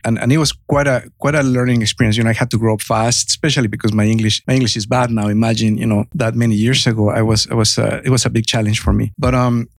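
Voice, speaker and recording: A man in his fifties from Mexico with a heavy Mexican accent, a native Spanish speaker telling his story as an interview guest in a halting, self-effacing way. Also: medium pitch, steady tone, gravelly, dry recording, studio quality. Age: 50s.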